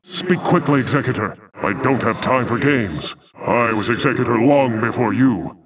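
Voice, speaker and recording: An actor playing a proud, solemn, noble alien warrior with a formal, imperious manner in a video game. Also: male voice, mid-range voice, moderate pace, a loud crowd, close to an everyday voice, low-quality recording. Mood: stern, impatient. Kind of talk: video game unit barks.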